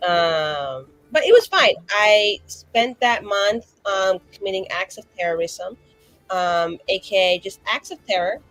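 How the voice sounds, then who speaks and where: A woman's voice: medium pitch, lively intonation, unhurried, a bit bright, no room sound, soft background music, poor audio. A woman playing a tabletop game, speaking in character and rambling with lots of fillers and restarts. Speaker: player.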